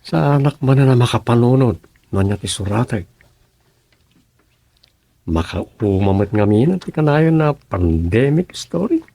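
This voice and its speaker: A man with an Ilocano accent playing a middle-aged village councilman in a radio comedy drama, with a gravelly, husky voice. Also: medium pitch, expressive, a little slow, long pauses, dark tone. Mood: musing, grumbling.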